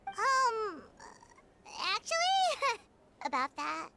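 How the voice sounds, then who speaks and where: A tiny squeaky fairy sidekick from a game, super high, bouncy, cute and nosy. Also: female voice, wildly swooping pitch, extremely slow, creaky, very noisy, full character voice. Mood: helpful, chirpy. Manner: squeaky, cute, speaks of herself in third person. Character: tiny childlike fairy companion, chirpy, nosy and caring. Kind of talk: video game voice acting. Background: game music.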